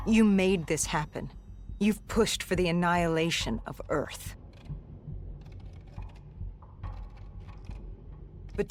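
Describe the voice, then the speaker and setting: A woman's voice: fairly low pitch, lightly acted. An actress playing a grave, determined female soldier in a video game, speaking with serious intensity. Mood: confrontational. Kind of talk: dramatic video game acting.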